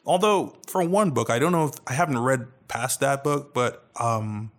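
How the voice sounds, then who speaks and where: A man's voice: medium pitch, moderate pace. A man co-hosting a podcast, talking casually and thoughtfully, often restarting his sentences as he searches for words. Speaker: podcast co-host.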